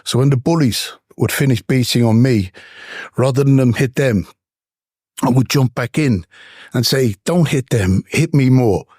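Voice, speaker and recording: Emphatic Irish ex-boxer, dry close mic. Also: male voice, medium pitch, unhurried, a little mellow, husky, no echo. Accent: Dublin Irish with English traces. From Ireland. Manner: emphatic, repetitive storytelling. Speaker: guest, former boxer. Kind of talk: interview.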